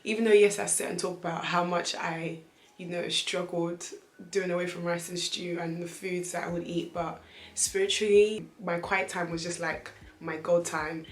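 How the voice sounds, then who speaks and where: A young woman from Nigeria chatting in a vlog, her voice slightly croaky, animated, laughing often and repeating phrases for emphasis. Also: on the low side. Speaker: vlogger. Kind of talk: casual chatty vlog talk. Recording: echoey room.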